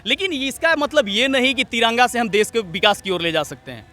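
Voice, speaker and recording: Young man, high-pitched, forceful bright tone, earnest, speech-like phrasing, street interview. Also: lively intonation, a little fast, faint music behind. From Jharkhand, India. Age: young adult. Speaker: student leader being interviewed. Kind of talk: opinionated street interview answers.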